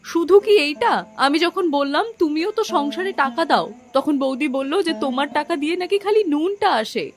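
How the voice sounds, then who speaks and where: A woman's voice: sits high, animated, a little fast. A woman voicing an aggrieved, fair-minded young daughter-in-law in a children's cartoon, with an emphatic, complaining manner.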